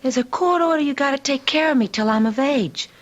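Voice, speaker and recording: An actress playing a defiant, streetwise but soft-hearted teenage girl in old-time radio drama, brash and slangy. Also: high voice, fairly quick, dull audio, close to an everyday voice.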